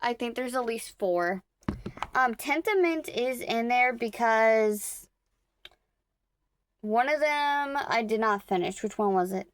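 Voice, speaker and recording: A woman with a somewhat high voice chats slowly and unhurriedly through a late-night crochet vlog, wandering off track and correcting herself as she works. Her throat sounds a little rough, and the sound is close and dry with no room around it. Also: expressive, no echo. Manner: rambling, self-correcting, talks to viewers while working. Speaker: crochet vlogger. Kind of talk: casual chatty vlog.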